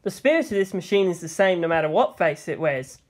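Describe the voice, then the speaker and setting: A woman's voice: on the low side, lively intonation, a little fast, darkish tone. An earnest teenage boy in an anime-style audio story, read by a woman. He sounds serious and a little awkward, speaking with hesitant sincerity. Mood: sheepish.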